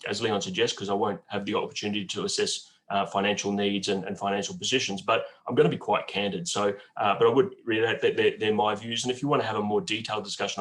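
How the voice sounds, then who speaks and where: A man in his forties with a croaky cold voice, speaking haltingly with many fillers as a bank guest on a webinar. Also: fairly low pitch. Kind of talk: webinar talk answering questions. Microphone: video call.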